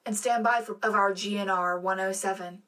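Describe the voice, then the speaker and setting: A woman's voice: mid-range voice, fairly even intonation, a little fast, a bit bright, lightly acted. A woman playing a polished, earnest co-anchor on a propaganda radio broadcast in a science fiction war drama, reading scripted news. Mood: poised, rousing. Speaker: radio show co-host.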